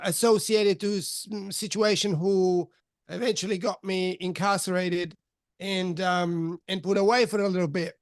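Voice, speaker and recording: Fifties male podcast guest, raised in Switzerland; halting, filler-heavy telling, crisp high voice. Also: no echo, studio quality. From Switzerland, of Italian parents. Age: 50s. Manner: halting, many fillers and restarts, soft laughs. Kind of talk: candid life-story interview.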